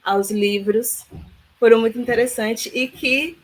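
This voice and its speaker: A woman in her thirties from Salvador, Brazil, answering interview questions reflectively with many pauses and fillers. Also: fairly high pitch, steady tone, rough recording. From Salvador, Bahia, Brazil. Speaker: guest, philosophy teacher.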